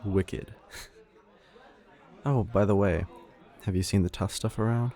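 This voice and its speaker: Man acting as cheeky supernatural associate, audio drama, deep, gravelly fry, wry, casual, slangy. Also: fairly even intonation, slow. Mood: casual, upbeat. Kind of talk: audio roleplay drama, conversational. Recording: loud crowd chatter behind voice.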